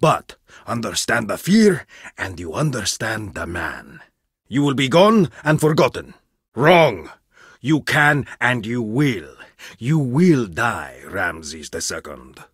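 A haughty, boastful archaeologist. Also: male voice, mid-range voice, very animated, slow, a bit bright, breathy edge, no room sound, exaggerated voice. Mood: lecturing. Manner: haughty, condescending, theatrical lecturing. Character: arrogant egomaniac male archaeologist, middle-aged. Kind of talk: video game voice acting. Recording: dry studio booth.

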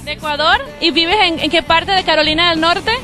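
A woman's voice: extremely high pitch, steady tone, a little fast. A young woman reporter doing upbeat street interviews outdoors at a night concert, speaking into a handheld mic. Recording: music and crowd behind.

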